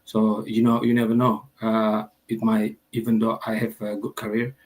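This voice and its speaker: Guy on a panel call, lowish flat voice, lots of uhs. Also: moderate pace. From Indonesia. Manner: hesitant, many fillers and restarts. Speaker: panel guest, IT technician. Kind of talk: online panel interview answer.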